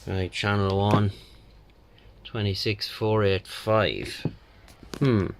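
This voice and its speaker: An Irish man talking casually through a repair job, thinking aloud in an unhurried, colloquial way. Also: lowish voice. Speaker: radio repair technician. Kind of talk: casual spoken bench walkthrough. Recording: steady background noise, bench clicks.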